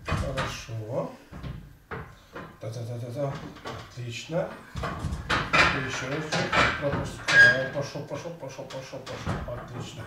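A man's voice: mid-range voice, unhurried, long pauses. An older man coaching a lift in a home gym, urging with short repeated commands, recorded from across the room. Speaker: trainer and channel host. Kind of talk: coaching a workout. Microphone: camera across the room. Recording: echoey room from far off, loud background noise.

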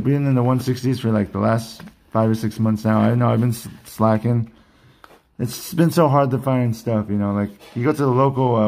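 A man hosting a casual card unboxing vlog, chatty and rambling, slightly hoarse from losing his voice. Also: medium pitch, warm tone. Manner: rambling, restarts sentences, chatty. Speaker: card collecting channel host. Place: indoor collection room at a desk.

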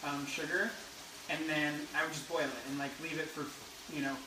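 A man's voice: a little high, flat delivery, a little fast, recorded from a distance, noisy background. A young man demonstrating a recipe on a home cooking show, laid-back and rambling with lots of fillers. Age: young adult. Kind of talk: casual cooking demo. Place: home kitchen. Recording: roomy, a bit off-mic.

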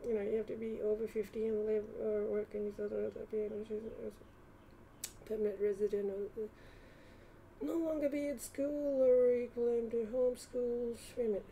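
A woman vlogger talks casually and hesitantly, thinking aloud as she browses. Her voice sits a little high with a mellow, relaxed tone. There is faint noise behind her in the recording. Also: normal speed, rough recording. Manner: rambling, hesitant.

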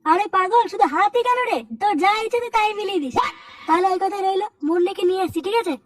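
A performer voicing a bossy young male club organiser in a cartoon comedy, giving brisk orders. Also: very high voice, fairly even intonation, far from the mic.

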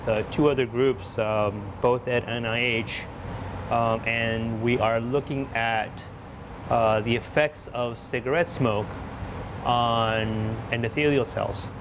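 A middle-aged man explains his research in a relaxed, unscripted way, pausing with fillers and restarting sentences. His voice sits at an ordinary pitch for a man, with a faint hum of air conditioning in the lab behind him. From Taiwan, grew up in the US. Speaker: research scientist. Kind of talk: casual interview about his lab work. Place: indoor laboratory.

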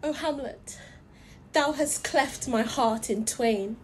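An anguished queen played by a woman begs in a high, slow voice. It is a theatrical Shakespearean lament from a mother in distress. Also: a little hiss or hum. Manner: pleading, swooping, slow lament. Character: anguished royal mother begging her child to stop. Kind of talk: Shakespearean audio drama acting.

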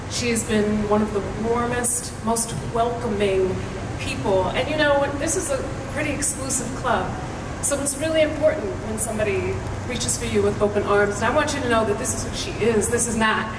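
A woman's voice: on the high side, normal speed. A woman talking as a panelist at a live stage event, recorded from the audience. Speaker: panelist, voice actress. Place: large convention hall stage, recorded from the audience. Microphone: audience camera far from the stage PA. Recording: distant echoey hall with crowd noise.

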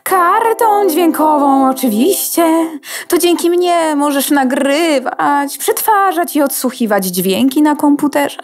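A woman's voice: sits high, animated, slow, hardly any pauses. A woman playing a haughty, theatrical sound card, a fussy music diva in a children's audio drama, pompous and condescending. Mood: grandly condescending.

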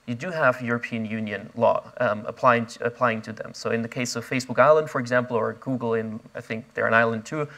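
A young man, speaking onstage at a conference, quick and restless with many fillers and restarts, recorded on a lapel mic. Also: mid-range voice, a bit bright. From Austria. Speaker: invited speaker. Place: conference stage before an audience.

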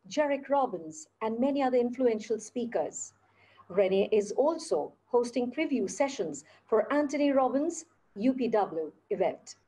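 A woman's voice: fairly high pitch, mellow, breathy edge. A woman hosting an event, reading a formal introduction of a speaker at a podium. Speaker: event host. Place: stage with podium.

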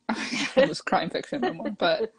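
A woman's voice: on the high side, big pitch swings, takes it slowly, husky. Friendly interviewer woman from the American South, eager, easy conversational host. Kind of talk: casual interview.

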